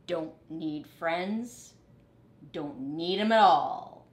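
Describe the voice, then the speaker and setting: A woman's voice: sits low, lively intonation, very slow, slight background noise, exaggerated voice. A woman voicing a grumpy, friendless male rat in a storybook, curt and huffy, in a roomy space. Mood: grumbling.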